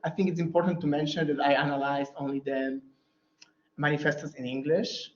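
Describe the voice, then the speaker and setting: A man's voice: a little high, little pitch movement, a little fast. A man whose first language is Polish answers audience questions after a keynote lecture, thinking aloud with many fillers and restarts. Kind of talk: academic Q&A answer. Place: lecture hall. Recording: roomy, dull lecture hall audio.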